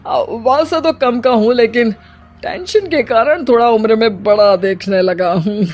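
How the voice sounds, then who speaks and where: A man acts out a flirtatious retired husband in his fifties for a family comedy story. He talks slowly with theatrical, drawn-out exclamations, sounding vain and quick to get irritable. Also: very high voice, darkish tone. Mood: flirty, vain. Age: middle-aged.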